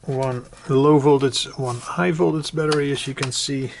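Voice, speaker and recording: A man giving a casual, unscripted hobby tutorial, conversational and hesitant, often pausing mid-sentence. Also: medium pitch, steady tone, creaky, slight background noise. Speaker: hobbyist demonstrating a build. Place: indoors at home.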